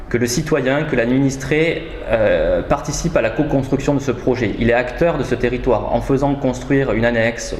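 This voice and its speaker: A man speaking as a local official, giving measured explanations in formal wording, with frequent hesitations. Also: medium pitch, a little mellow. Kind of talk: explanatory interview answers. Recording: roomy echo, faint background noise.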